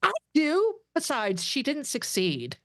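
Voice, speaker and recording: A woman's voice: high voice, huge pitch swings, slow, fairly bright tone, exaggerated voice. A woman reading the part of an earnest, indignant young female alien science officer in a comedic table read. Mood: sulky, indignant.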